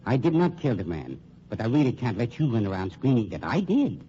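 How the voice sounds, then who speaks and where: An actor playing a coolly menacing funeral home owner with silky, formal politeness in an old-time radio crime drama. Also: male voice, mid-range voice, fairly quick, natural voice. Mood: accusing. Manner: formal, silkily polite, deadpan. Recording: muffled, noisy old radio recording.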